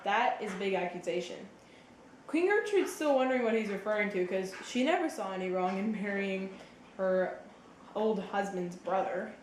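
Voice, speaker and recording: A woman's voice: medium pitch, normal speed, natural voice. A woman acting as a patient, knowledgeable explainer, giving casual literary commentary in a conversational way. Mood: explanatory. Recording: roomy echo, faint background noise.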